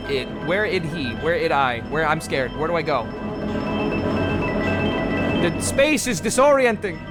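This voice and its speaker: A man chats his way through a game, jokey and profane, wandering off topic. His voice sits a little high and swings widely. Loud game music runs behind him. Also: measured pace. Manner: rambling, swearing, jokey, animated. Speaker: gameplay streamer. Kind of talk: casual gameplay commentary.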